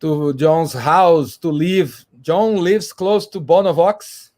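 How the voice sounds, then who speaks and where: Slow-speaking man, halting English. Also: fairly high pitch, darkish tone. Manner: halting, repeats words, self-correcting. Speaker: co-host and English learner. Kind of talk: casual livestream chat.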